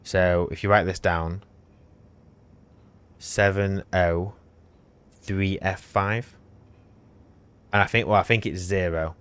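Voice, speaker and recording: Low-voiced guy streaming a game, chatty and teasing, talks through every step. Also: steady tone, takes it slowly, pauses often, fairly bright tone, clear, resonant tone. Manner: teasing, talkative, explains while playing. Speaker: co-host streamer. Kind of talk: casual live-stream chat.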